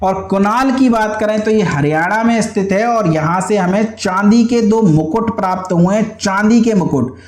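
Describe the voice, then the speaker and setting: High-pitched man teaching, echoey room. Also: moderate pace, few pauses. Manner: didactic, asks questions then answers them, repeats key points. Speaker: history teacher for exam students. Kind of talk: question-and-answer exam lesson. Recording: echoey, roomy sound.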